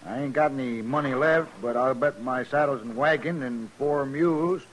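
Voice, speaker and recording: An actor playing a plain-spoken rustic frontier gambler in a 1950s radio western. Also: male voice, mid-range voice, normal speed, lightly acted, rough recording. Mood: determined. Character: plain-spoken frontier gambler staking his wagon and mules. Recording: old radio recording with faint noise.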